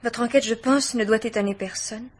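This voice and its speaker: An actress playing a guarded, anxious yet self-possessed young woman in a French radio mystery play, speaking gravely and formally. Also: fairly high pitch, steady tone, a little fast. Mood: grave, defiant. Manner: grave, formal, hesitant but pointed.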